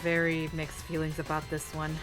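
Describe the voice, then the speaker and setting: A woman's voice: low voice, completely flat delivery, moderate pace, music in the background, low-quality recording. A young woman in a casual chat. She talks in a hesitant, chatty way and uses many fillers. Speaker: co-host. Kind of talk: casual chat ranking anime couples.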